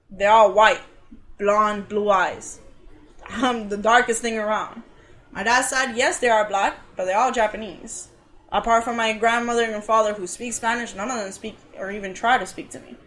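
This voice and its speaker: A woman's voice: medium pitch, sharp tone. A woman from Cuba, a podcast guest, earnestly telling a personal story in a casual way with frequent uhs. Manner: earnest, talks things through with many uhs.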